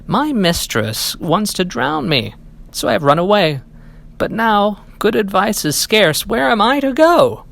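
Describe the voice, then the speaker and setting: A man voicing a gloomy old cat in a storybook, plaintive, drawn-out and sorry for himself. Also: high voice, sing-song, gravelly, fairly bright tone, voice pushed up, exaggerated voice. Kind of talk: storybook character reading.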